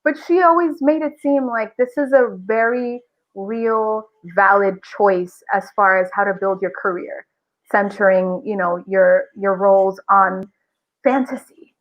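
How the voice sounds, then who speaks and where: A woman's voice: medium pitch, fairly even intonation, measured pace, lo-fi sound. A woman talking reflectively and at length as a guest voice actor in a casual podcast interview.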